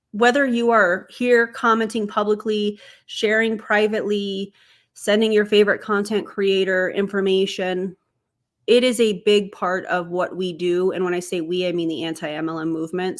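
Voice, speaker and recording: A young adult woman hosting a casual livestream, a little congested as she gets over being sick, chatty and quick to laugh. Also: medium pitch. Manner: chatty, laughs easily, talks to chat. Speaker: streamer and commentator.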